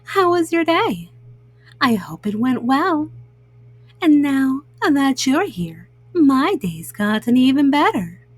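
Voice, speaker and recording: A woman hosting children's storytime, reading gently and speaking soothingly and directly to young listeners. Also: sits high, sing-song, takes it slowly, no echo.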